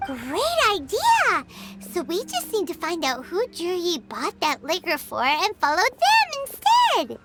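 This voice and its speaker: A woman voicing a tiny, eager, naive childlike companion in a video game, squeaky and exclamatory. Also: wildly swooping pitch, unhurried, exaggerated voice. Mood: eager, alarmed. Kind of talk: cartoonish video game voice acting. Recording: loud playful music behind voice.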